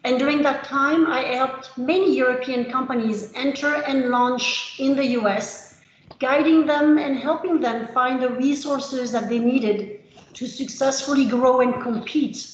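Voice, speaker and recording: A French-born woman in her fifties giving a measured webinar presentation as a consultant, with the odd "uh" between phrases. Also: sits high, lo-fi sound, a little hiss or hum. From France. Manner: measured, with filler uhs. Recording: roomy video-call audio.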